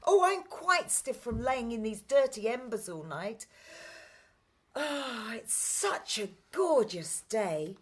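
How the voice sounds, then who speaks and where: A woman playing a sweet, cheerful, kindly young heroine in a children's radio play, with a gentle, friendly manner. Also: fairly high pitch, sing-song, slow pace, slightly rough, voice pushed up, full character voice.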